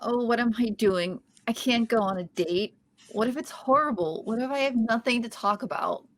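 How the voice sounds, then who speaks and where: A woman's voice: a little high, normal speed, close to an everyday voice. A woman on a podcast table read voicing a quirky, insecure young woman who talks nervously. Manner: nervous, self-doubting, goofy. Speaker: podcast host reading a script.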